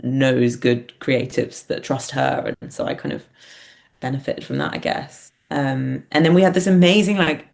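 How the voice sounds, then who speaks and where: A woman's voice: very deep voice, steady tone, moderate pace, gravelly, darkish tone. A woman in a casual interview, speaking informally and hesitantly as a guest, often dropping in "like". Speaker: interview guest, theatre writer and performer.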